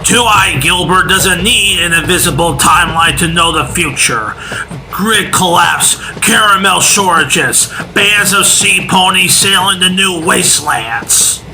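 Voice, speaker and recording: Man voicing a slow, ominous sea pony doomsayer. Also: sits high, over music, rough recording. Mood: prophetic doom. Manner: ominous, theatrical, portentous. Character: gloomy, eccentric male sea pony doomsayer. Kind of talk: animated cartoon voice acting.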